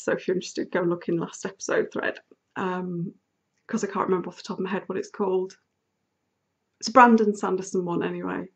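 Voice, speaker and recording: A woman hosting a casual knitting video podcast, chatty and rambling, still sniffly from a cold. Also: on the low side. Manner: chatty, rambling, with frequent ums and laughs. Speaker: podcast host.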